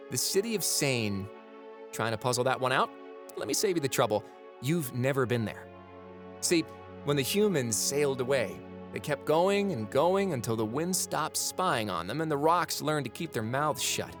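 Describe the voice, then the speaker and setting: Mid-pitched male voice, actor as knowing fantasy narrator, confiding, conversational, audio drama, clear music bed. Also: very animated, moderate pace, natural voice. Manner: confiding, addresses the listener directly. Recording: music behind the voice.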